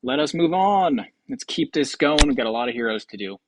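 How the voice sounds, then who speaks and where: A man's voice: fairly high pitch, expressive, brisk, a little hiss or hum, rough recording. A man hosting a game show with casual, improvised commentary in a rambling, offhand way.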